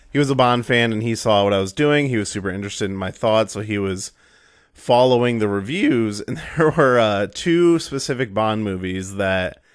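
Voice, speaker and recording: A young man hosting a podcast, hoarse and raspy from a cold, rambling through his intro with fillers and restarts. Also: lowish voice, dry recording, clean studio sound. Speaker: podcast host.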